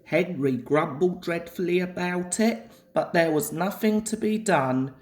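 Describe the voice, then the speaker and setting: A woman reading a children's story aloud in a measured, deliberate way, as a scripted storybook narration. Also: lowish voice, darkish tone. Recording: echoey room.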